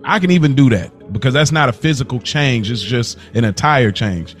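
A man's voice: medium pitch, expressive, normal speed, soft background music. An American man co-hosting a podcast in casual chat, slangy and emphatic, often repeating himself for stress. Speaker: podcast co-host.

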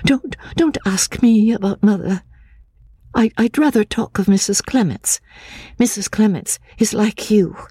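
Frail, troubled young woman: high, airy, hesitant, childlike, for a classic audiobook. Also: warm tone, husky, no echo. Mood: anxious, earnest. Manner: halting, stammering, repeating words anxiously. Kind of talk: dramatic audiobook reading of classic literature.

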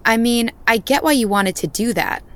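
An actress playing a skeptical, worried friend with a wry, teasing manner in an audio drama. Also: female voice, on the high side, moderate pace, a bit bright, lightly acted.

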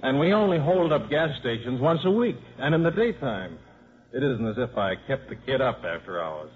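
A glib, sardonic father and small-time hustler in a 1950s radio play. His voice is husky and middle-pitched, and the old recording is muffled. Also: big pitch swings, moderate pace. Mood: sarcastic. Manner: sarcastic, glib. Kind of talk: 1950s radio drama acting.

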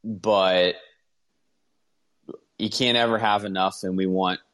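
A man with a fairly low voice talks sports casually as a podcast co-host. He is opinionated and emphatic, circling back to hammer his points. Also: steady tone, slow, fairly bright tone.

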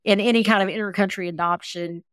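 A woman's voice: mid-range voice, fairly even intonation, fast, fairly bright tone, no echo. A woman in her sixties telling personal stories as an interview guest, earnest and talkative. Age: 60s. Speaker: interview guest, adoptee advocate. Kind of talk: conversational personal storytelling.

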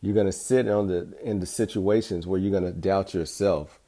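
Deep, mellow-voiced male podcast host. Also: steady tone, moderate pace. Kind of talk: reflective motivational podcast monologue.

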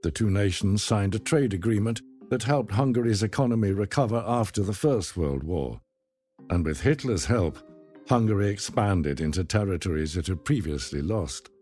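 A man reading a scripted documentary narration in a measured, dramatic storytelling manner. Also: on the low side, expressive, mellow, soft background music.

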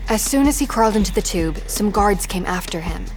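A woman's voice: mid-range voice, expressive, brisk, over music, lightly acted. A woman playing a snarky, plucky teenage girl in an audio drama, talking in sarcastic slang. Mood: tense recounting.

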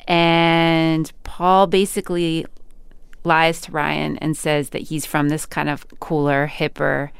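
Very smooth, low-pitched woman. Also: little pitch movement, takes it slowly, no echo. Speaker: radio interviewer and host. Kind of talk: measured conversational interview.

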